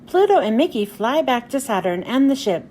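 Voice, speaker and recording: A woman narrates a children's storybook in a high voice. Her pitch moves in lively, expressive swings. There is faint background noise on the recording. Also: normal speed. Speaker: children's book reader. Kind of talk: storybook read-aloud narration.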